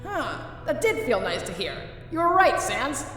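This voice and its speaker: A woman's voice: high voice, big pitch swings, a little slow, fairly bright tone, exaggerated voice. A woman playing an innocent, hopeful young skeleton boy in a comic dub, earnest and pleading. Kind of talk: dramatic fan comic dub. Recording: distant, very echoey reverb under music.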